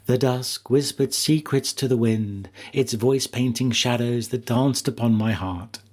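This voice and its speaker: A man reads as an artistic woman poet with a dreamy temper. The delivery is lyrical and emotive, with a soft husky texture. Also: mid-range voice, a little slow, lightly acted. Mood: dreamy wistfulness.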